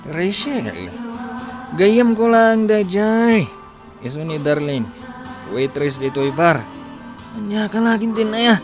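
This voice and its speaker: A young, defensive boyfriend who scolds with very animated pitch. His accent is Ilocano and the delivery is radio drama. Music is clearly heard behind him. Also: slow pace, long pauses. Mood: evasive.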